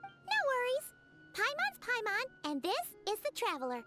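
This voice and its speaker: A woman's voice: extremely high pitch, wildly swooping pitch, a little slow, loud music behind the voice, exaggerated voice. A woman voicing a tiny floating girl companion who is chirpy, nosy and teasing, talking about herself in the third person. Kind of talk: game dialogue.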